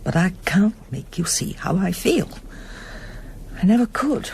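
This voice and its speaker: An actress playing an anguished middle-aged émigré pianist in a radio drama, intense and brooding. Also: female voice, mid-range voice, sing-song, unhurried, gravelly, darkish tone, a little hiss or hum. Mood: bitter, hurt. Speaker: lead actress and narrator. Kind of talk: radio drama acting and first-person narration.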